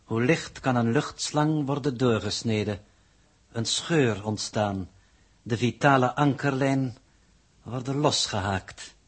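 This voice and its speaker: An actor playing a pedantic, formal alien coordinator who reports in stilted, ponderous phrases in a 1960s radio drama. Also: male voice, mid-range voice, takes it slowly, slightly rough. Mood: grave, formal.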